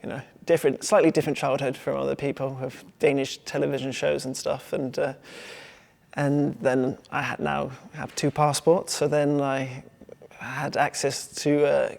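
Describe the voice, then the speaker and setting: Creaky-voiced English man talking casually. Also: medium pitch, little pitch movement, measured pace, darkish tone. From England. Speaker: artist. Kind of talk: casual talk about own work.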